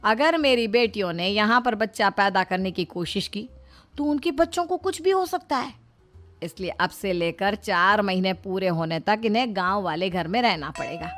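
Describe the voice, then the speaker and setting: A woman's voice: mid-range voice, lively intonation, normal speed, fairly bright tone, natural voice. Woman doing shrewd middle aged mother-in-law in a moral story, kinda plaintive, music under it. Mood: firm. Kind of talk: acted moral-story dialogue.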